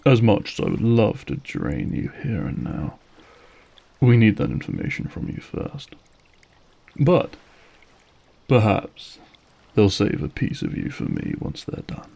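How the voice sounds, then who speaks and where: Ancient male vampire , man acting, audio roleplay: dark gravelly voice, weary, brooding, courteous threat. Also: on the low side, wildly swooping pitch, exaggerated voice. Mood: cold menace. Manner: slow, brooding, formal speech. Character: weary, bitter male vampire, centuries old, politely menacing.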